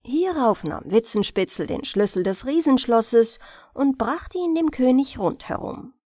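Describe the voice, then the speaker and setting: A woman reading fairy tales as an expressive bedtime audiobook narrator. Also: a little high, measured pace, dry recording, clean studio sound.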